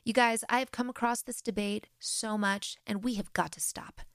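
An American woman hosting a faith podcast, talking directly and earnestly with lively emphasis. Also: a little high, normal speed, clear, resonant tone, dry recording, clean studio sound. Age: adult with grown-past-baby children. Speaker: podcast host. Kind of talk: solo faith podcast talk.

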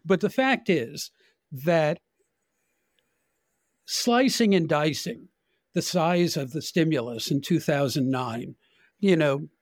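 Older American man, slightly high voice, dry close sound, opinionated political commentary, conversational. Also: animated, slow, long pauses, no room sound. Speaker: podcast guest, political journalist.

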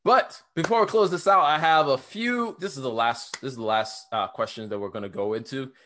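A young man hosting a podcast interview, talking in a casual, slangy, animated way and laughing often. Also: mid-range voice, brisk, a little hiss or hum. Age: young adult. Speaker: podcast host.

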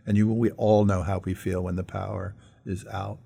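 A man's voice: low-pitched, flat delivery, normal speed, vocal fry, warm tone, breathy edge. A middle-aged American man co-hosting a conversational podcast, speaking earnestly and posing rhetorical questions. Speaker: podcast co-host. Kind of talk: conversational discussion.

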